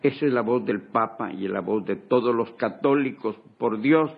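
A man's voice: mid-range voice, moderate pace, gravelly. A man from Ecuador, an ambassador, speaking fervently in a radio interview with repeated rhetorical emphasis. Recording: tinny phone line.

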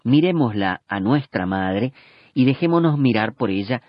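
Husky man reading a calm translation. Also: medium pitch, moderate pace, no room sound, muffled recording. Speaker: voice-over interpreter. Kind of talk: read translation of a homily.

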